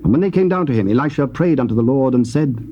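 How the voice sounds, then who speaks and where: A man narrating scripture in a measured, stately reading. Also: mid-range voice, animated, lo-fi sound. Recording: faint tape hiss.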